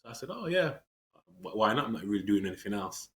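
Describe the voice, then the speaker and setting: A man, talking earnestly in an interview. His voice sits low but has a bright, forceful edge. He pauses on fillers and restarts his sentences often. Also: very animated, slight background noise. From England. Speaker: interview guest. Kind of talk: reflective conversational interview.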